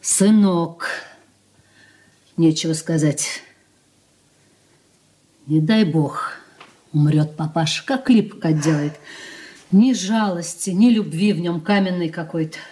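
Low, dark-voiced sharp-tongued woman. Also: slow, long pauses. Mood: grumbling, calculating. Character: sharp-tongued, calculating married daughter of merchant family. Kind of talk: Soviet radio drama acting.

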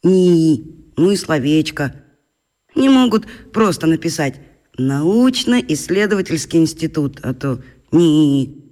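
An actor playing a sulky, curious, self-doubting schoolboy son in a children's radio play. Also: male voice, on the high side, big pitch swings, takes it slowly, big-room sound. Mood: grumbling. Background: loud mallet percussion music.

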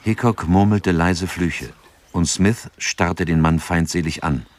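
An actor narrating a scripted radio drama in a sober, factual reporting manner. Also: male voice, sits low, takes it slowly.

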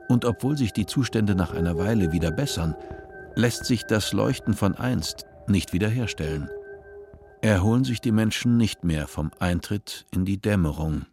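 A man narrating a radio play in a measured, sober way. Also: on the low side.

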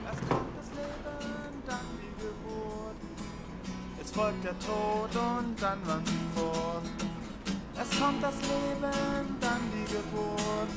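A woman playing a dreamy hippie who chants simple verses in a drawn-out, singsong way for a radio play. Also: on the high side, rough recording. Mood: dreamy chanting. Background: plucked guitar music.

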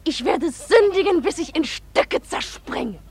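A woman's voice: very high-pitched, animated, measured pace, a little mellow, exaggerated voice. An actress in a radio play playing a sinful, pleasure-hungry seventeen-year-old girl who confesses with great drama. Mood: defiant, yearning. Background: bleating goats.

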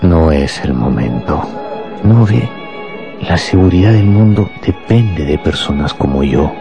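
A deep, deliberate voice belongs to a fanatical bomb-making professor. He is proud and coldly menacing, savoring his own grandeur. Prominent music plays behind this radio drama performance. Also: lively intonation, dull audio, full character voice. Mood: solemn, obsessed. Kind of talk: Spanish-language radio drama acting.

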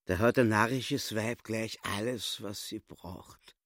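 An actor with an Austrian accent playing a sly, boastful small-time swindler in a radio play, theatrical, gloating and mock-courteous. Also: male voice, on the low side, slow pace, a bit bright, dry recording, clean studio sound. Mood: scheming.

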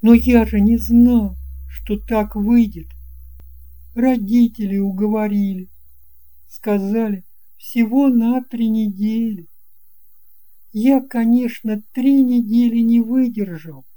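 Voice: mid-range voice, fairly even intonation, slow, warm tone, voice pushed up, close to an everyday voice, low-quality recording. A performer voicing an earnest young boy in a children's audio story, speaking in an emphatic, childlike and insistent way. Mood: upset, regretful. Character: earnest young boy recalling lost friends.

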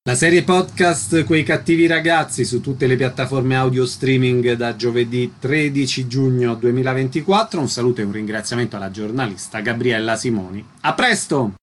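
A man's voice: medium pitch, normal speed, hardly any pauses. A man presenting on radio as host and asking short interview questions.

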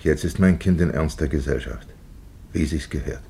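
Strenger Dorfvater mit extrem tiefer Stimme. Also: male voice, rumbling low, moderate pace, gravelly, dark tone, slight background noise. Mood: grave, commanding. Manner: grave, commanding, terse. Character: stern, solemn village father, older man. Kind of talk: German radio play acting.

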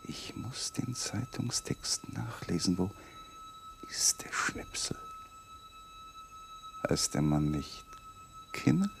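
An actor playing a weary, brooding husband and lawyer in a 1980s German radio drama, polite and measured in manner. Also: male voice, very high voice, vocal fry, darkish tone, slight background noise, full character voice. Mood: brooding, secretive.

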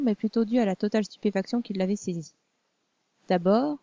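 Woman narrating a story, mellow voice. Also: mid-range voice, no echo, muffled recording. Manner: measured storytelling, also voices the princess. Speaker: audiobook narrator.

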